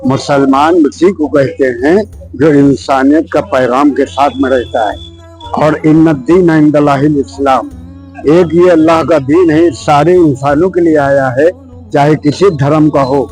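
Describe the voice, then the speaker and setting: A man's voice: on the high side, fairly even intonation, unhurried, darkish tone, faint music behind, poor audio. An older man giving an impassioned, preachy, unscripted interview answer full of rhetorical questions. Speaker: member of the public interviewed.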